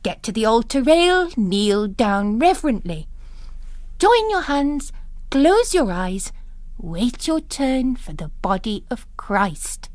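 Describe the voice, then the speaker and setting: An impetuous young nun, acted by a woman reader, speaks out briskly and earnestly in a storybook reading. Her voice is high and swings widely, with a bossy, urgent edge. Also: very animated, darkish tone, dry recording. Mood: commanding, urgent. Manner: urgent, commanding, outspoken.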